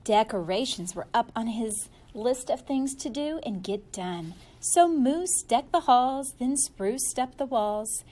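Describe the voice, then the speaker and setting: Female storybook reader, very lively intonation, slow and playful delivery for young listeners. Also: fairly high pitch. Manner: animated, playful, drawn-out emphasis. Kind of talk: expressive children's story read-aloud.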